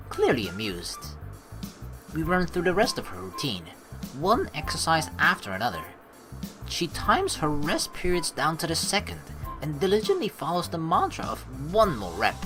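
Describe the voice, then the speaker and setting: A woman with a playful, teasing manner, commentating on a game and reading its story text aloud. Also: low voice. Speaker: let's player. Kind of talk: gaming commentary mixed with reading visual novel text aloud.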